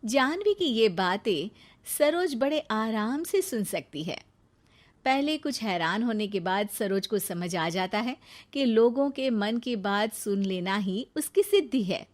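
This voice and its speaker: A woman's voice: fairly high pitch, animated, unhurried. A woman reading scripted narration for a Hindi moral story as its storyteller.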